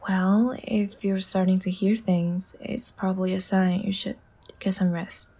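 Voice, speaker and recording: Woman playing a languid, breathy, half-whispering vampire seductress. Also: medium pitch, flat delivery. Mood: soothing, teasing. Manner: slow, soft, teasing and soothing. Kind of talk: intimate ASMR audio roleplay.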